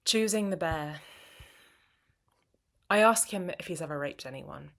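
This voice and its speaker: Low, creaky-voiced woman performing poetry. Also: measured pace, fairly bright tone. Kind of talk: intimate dramatic spoken-word poetry.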